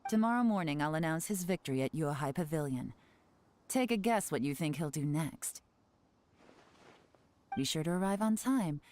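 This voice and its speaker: A woman voicing a shrewd, self-assured female intelligence agent in a video game, composed and deliberate. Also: sits low, animated, a little mellow. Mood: composed, commanding.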